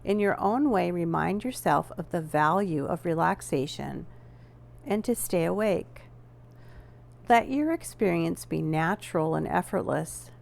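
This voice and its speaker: Female yoga nidra guide, unhurried and gently instructive, lowish voice with a creaky edge. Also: expressive. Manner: measured, gently instructing with deliberate pauses. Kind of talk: guided relaxation meditation.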